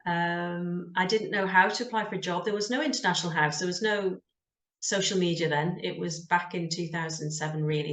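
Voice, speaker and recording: A woman's voice: lowish voice, fairly bright tone, roomy sound. A middle-aged woman from the UK with a British accent, telling her story in a frank, conversational way as an interview guest, with frequent ums. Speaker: guest, job search coach.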